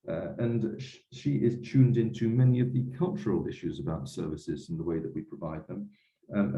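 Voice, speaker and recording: A man moderating a webinar, speaking in a measured, formal and somewhat hesitant way. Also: lowish voice, dark tone. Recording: echoey room, slightly dull sound.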